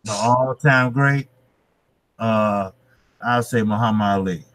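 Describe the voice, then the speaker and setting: A man's voice: fairly low pitch, takes it slowly. A man in his fifties, a guest in a casual interview, speaking hesitantly with many restarts. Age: 50s. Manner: hesitant, many fillers and restarts, laughs softly. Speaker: guest, boxing channel creator.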